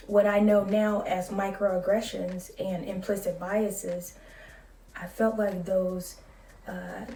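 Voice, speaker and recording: Woman, late 30s, soft calm vlogger voice, slow and mellow, echoey room, a bit off-mic. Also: on the low side, distant mic, a little hiss or hum. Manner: calm, measured and reflective. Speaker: school psychologist. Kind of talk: casual talking-to-camera vlog.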